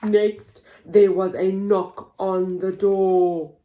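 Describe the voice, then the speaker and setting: A woman hosting a children's story time, reading aloud in an animated, expressive, theatrical way for very young listeners. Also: medium pitch, very slow. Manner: expressive, theatrical, with gasps and whispers. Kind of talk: animated read-aloud for toddlers.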